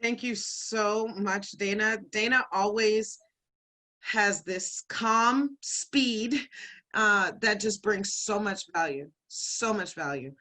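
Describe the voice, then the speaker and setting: A woman's voice: mid-range voice, slow, bright, forceful tone. A woman hosting an online event, enthusiastic and chatty as she introduces the speakers over a video call. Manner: enthusiastic, chatty, laughs easily. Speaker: event host.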